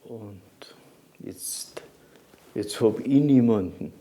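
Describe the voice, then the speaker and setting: A man in his eighties with a Carinthian accent, whose first language is Slovene, recalling his life in an interview in a halting way, often restarting his sentences. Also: fairly low pitch, warm tone. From Carinthia, Austria. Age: 80s. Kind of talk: reminiscing interview.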